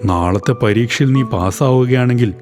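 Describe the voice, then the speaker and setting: Stern cartoon authority figure, acted by a man, medium-pitched male voice, faint music underneath. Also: normal speed, close to an everyday voice. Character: stern grown men in a moral tale: father, principal. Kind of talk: animated children's story acting.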